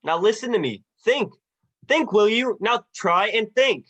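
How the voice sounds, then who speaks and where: A man's voice: high-pitched, expressive, a little slow, a bit bright, exaggerated voice. A man playing a short-tempered, desperate family man in a radio play, agitated and stammering. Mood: frantic, angry. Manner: agitated, stammering, repeating phrases. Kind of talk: radio drama acting.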